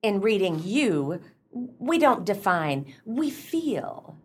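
Gossipy pretentious club lady, normal pitch, lots of swoop, formal, radio play. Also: takes it slowly, slightly rough, heavily acted. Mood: eager, lofty. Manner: formal, wordy, expressive. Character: arch, gossipy clubwoman with cultured pretensions.

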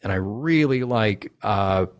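A man's voice: lowish voice, lively intonation, slow pace. A man hosting a casual fan discussion show, talking through his opinions in a conversational way. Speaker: talk show host.

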